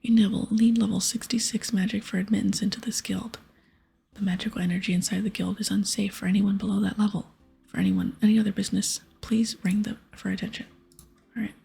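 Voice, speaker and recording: A woman softly whispering an ASMR video game playthrough, mixing hushed, unhurried reading with casual asides. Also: medium pitch, flat delivery, faint music behind. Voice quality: breathy, soft whisper. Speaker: streamer reading game dialogue aloud.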